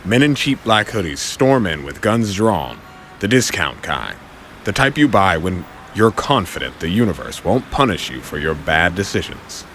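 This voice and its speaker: A man with a fairly low voice narrates an audio drama. He is theatrical and wry, moving expressively up and down as he tells the story. Faint noise sits behind the voice. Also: sing-song, normal speed, fairly bright tone.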